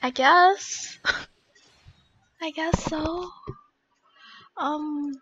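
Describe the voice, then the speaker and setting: A woman's voice: high voice, very slow, background noise. A young woman live-streaming a game in casual, reactive chat, swearing casually, on slightly dull lo-fi audio.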